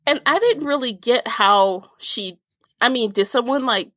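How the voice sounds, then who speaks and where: A woman's voice: a little high, measured pace, a bit bright, dry recording, studio quality. A woman podcast host chatting casually about a book in an opinionated, informal way. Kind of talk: casual book discussion chat.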